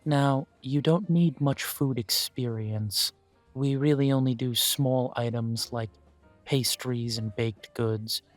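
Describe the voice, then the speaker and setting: A man voicing a polite male elf who owns a coffee shop, interviewing the listener for a job in a calm, formal way. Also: mid-range voice, slow, no room sound, soft background music, close to an everyday voice. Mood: courteous. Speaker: shop manager interviewing an applicant. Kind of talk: audio roleplay, talking to the listener.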